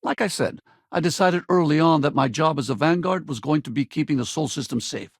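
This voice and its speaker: A man playing a seasoned, middle-aged spaceship pilot in a video game, wry, earnest and good-natured, with a gravelly, husky voice. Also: a little high, a little fast, no echo, clean studio sound. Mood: reflective. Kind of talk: video game companion voice acting.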